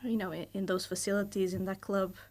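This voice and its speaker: A woman's voice: medium pitch, flat delivery, a little mellow. Thoughtful Portuguese-accented woman in her thirties. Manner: thoughtful, measured, hedges often with fillers. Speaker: guest, university lecturer. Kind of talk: casual podcast interview. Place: podcast studio.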